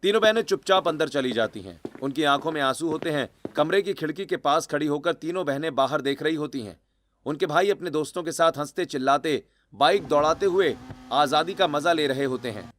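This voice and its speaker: A man's voice: fairly high pitch, a little fast, fairly bright tone. A man reading out scripted story narration.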